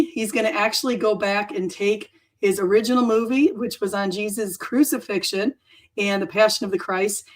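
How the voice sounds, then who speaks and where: Hoarse woman hosting an off-the-cuff livestream. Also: medium pitch, rough recording. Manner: rambling, self-correcting, chatty. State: hoarse, froggy voice.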